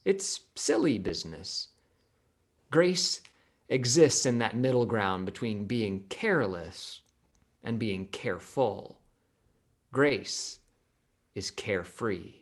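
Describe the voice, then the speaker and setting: A man reading a scripted reflection at a church service, in short, measured, emphatic phrases with pauses. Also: fairly low pitch, lively intonation. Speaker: congregation member reading his reflection.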